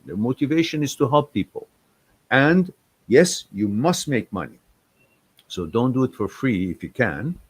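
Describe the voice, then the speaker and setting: A man's voice: lowish voice, husky. A man whose first language is Farsi, hosting a livestream question-and-answer session and giving blunt, emphatic advice full of rhetorical questions. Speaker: livestream host.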